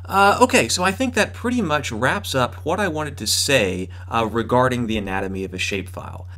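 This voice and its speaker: A man in his thirties giving an explanatory lecture as a course instructor, with a hesitant, halting delivery and emphatic repetition. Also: medium pitch, animated, bright, forceful tone.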